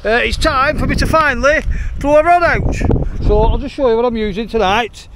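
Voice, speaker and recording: Bloke, quite high voice, chatty jokey fishing vlog, noisy outside recording. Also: male voice, a little fast. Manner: jokey, chuckly, playful with slangy dialect. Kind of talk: casual chatty outdoor vlog commentary to viewers. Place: outdoors on a sea breakwater at night. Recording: noisy outdoor recording on a waterside.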